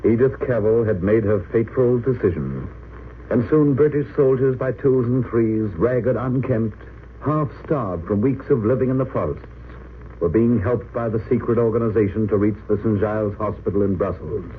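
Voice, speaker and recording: A man's voice: lowish voice, normal speed. A man narrating a vintage radio drama in a dramatic, scripted style. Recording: tinny, hissy old radio recording.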